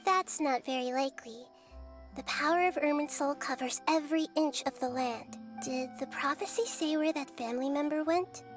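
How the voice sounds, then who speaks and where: A woman playing a wise, childlike young goddess in a video game, gentle, composed and thoughtful. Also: high-pitched, normal speed, over music, natural voice. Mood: pondering. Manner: thoughtful, reassuring, explanatory. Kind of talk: scripted game dialogue.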